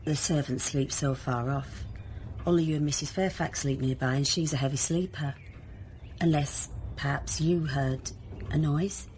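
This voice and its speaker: An actress playing a sly, guarded female servant in a gothic period radio drama, measured and insinuating. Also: sits low, fairly even intonation, darkish tone, slight background noise, lightly acted. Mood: guarded, probing.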